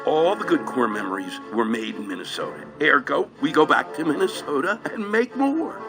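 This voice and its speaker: A man playing a gruff, forceful cartoon heavy in an animated film, with a gravelly voice. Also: fairly high pitch, normal speed. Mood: bossy. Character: gruff, menacing male cartoon heavies: clown, hot-tempered emotion. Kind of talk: animated film character acting. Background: music.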